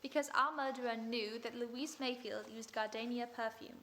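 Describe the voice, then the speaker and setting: A woman playing a crisp, bright-voiced lady detective. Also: a little high, steady tone, moderate pace. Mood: cool. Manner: cool, crisp and in command. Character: sharp, composed woman detective. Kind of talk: old-time radio acting.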